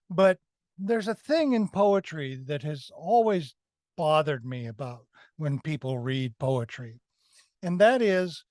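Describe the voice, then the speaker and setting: A man's voice: high-pitched, dry recording. A man giving a conversational film critique as a review panelist, emphatic and repeating phrases for effect.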